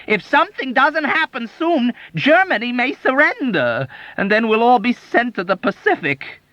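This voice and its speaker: A man's voice: high-pitched, wildly swooping pitch, takes it slowly, a bit bright, dry recording, pitched above the performer's own voice, full character voice. A man putting on a whining self-pitying army doctor. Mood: wailing. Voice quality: whiny, plaintive. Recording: dull dry audiobook recording.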